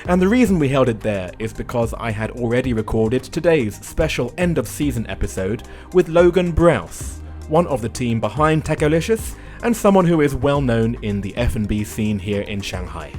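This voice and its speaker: A man hosts his podcast in a voice of ordinary middle pitch. He talks with dry, self-deprecating humor and a light touch. Faint music sits under his words. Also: lively intonation, moderate pace, few pauses, darkish tone. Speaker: podcast host. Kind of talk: podcast intro and interview chat. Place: Shanghai.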